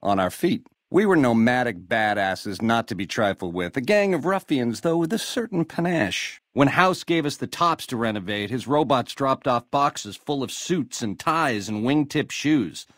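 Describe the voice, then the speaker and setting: An actor playing a slick, cocky American casino gangster boss in a video game, swaggering through retro lounge slang. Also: male voice, medium pitch, expressive, normal speed, hardly any pauses, a bit bright, no echo, clean studio sound, natural voice. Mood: boastful, reminiscing. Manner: swaggering retro lounge-lizard slang, calls people baby. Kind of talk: video game character dialogue.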